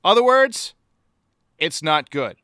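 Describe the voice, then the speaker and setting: Bright, crisp-voiced male sports podcast host. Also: on the high side, big pitch swings, slow pace, no echo, clean studio sound. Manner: blunt, sarcastic. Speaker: host of a baseball fan podcast. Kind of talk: opinionated sports commentary.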